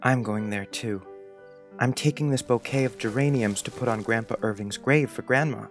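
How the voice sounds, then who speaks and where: A man's voice: mid-range voice, normal speed, soft background music, lightly acted. A man playing a sensitive, dreamy little schoolboy in a storybook, speaking in an earnest, wistful, confiding way.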